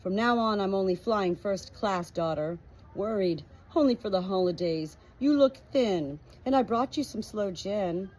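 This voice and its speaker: A woman's voice: medium pitch, a little slow, a little mellow, lightly acted, rough recording. A woman voicing a self-pitying, elegant mother in a storybook, in a plaintive, theatrical manner.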